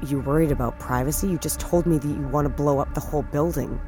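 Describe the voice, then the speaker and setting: A performer playing a determined whistleblower in an audio drama, intense and methodical, explaining and probing in a deliberate way. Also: mid-range voice, a little mellow, faint music behind, lightly acted. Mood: challenging, intense. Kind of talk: naturalistic audio drama acting.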